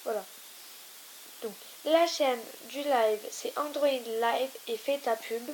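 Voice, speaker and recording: A woman's voice: fairly high pitch, takes it slowly, low-quality recording. A young woman hosting a casual gaming video, rambling and teasing, trailing off with repeated fillers. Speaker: gaming YouTuber. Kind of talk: casual let's-play chat. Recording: hissy home recording. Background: steady hiss.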